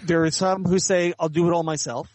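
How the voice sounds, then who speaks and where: A man giving measured, articulate, explanatory answers as an author and editor being interviewed in conversation. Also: on the high side, fairly even intonation, a little mellow, no echo.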